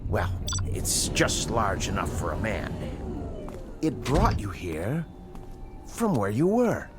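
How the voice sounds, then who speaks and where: An actor playing a calm, self-satisfied American scientist, soothing and a little condescending, in a radio drama. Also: male voice, on the low side, takes it slowly, over loud music, exaggerated voice. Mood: patronizing.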